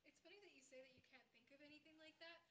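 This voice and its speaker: Fast, high-voiced woman in echoey room. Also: sharp tone. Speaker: study group member commenting. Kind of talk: casual discussion. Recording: faint, echoey room with hiss.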